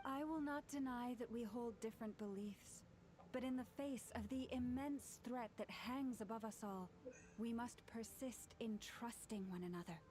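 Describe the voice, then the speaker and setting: A woman voicing a gentle, solemn moon priestess in a video game, earnest and measured in her speeches. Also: on the high side, lightly acted, poor audio. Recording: game audio with noise behind.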